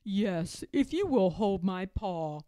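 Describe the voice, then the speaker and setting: A woman voicing a gentle, teasing old grandfather bear in a children's storybook, in a measured manner. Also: mid-range voice, expressive, no echo, clean studio sound.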